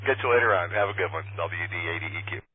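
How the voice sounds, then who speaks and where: A man's voice: low voice, rapid-fire, noisy background. A middle-aged man chatting casually over ham radio in a folksy, rambling way. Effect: two-way radio. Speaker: amateur radio operator. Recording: muffled narrow-band radio repeater audio.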